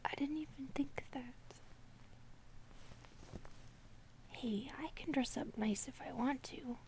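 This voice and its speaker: A woman playing an affectionate, playful, shy centipede monster girlfriend in an ASMR roleplay, soft, breathy and intimate. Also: sits high, normal speed, a little hiss or hum, rough recording. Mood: sleepy, pleading. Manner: intimate, playful, lightly teasing.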